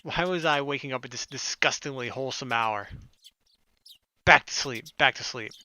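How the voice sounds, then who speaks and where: A man's voice: medium pitch, moderate pace, pushed and bright, dry recording. A man reading a visual novel aloud as a let's play host, theatrical and mixing in casual joking commentary.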